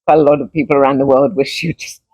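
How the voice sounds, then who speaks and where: A woman in her fifties answers as a guest, thoughtful and gently wry. Her voice has a husky edge. The sound is dry and close, with no room around it. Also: very deep voice, flat delivery, fast, no echo. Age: 50s. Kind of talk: casual chat.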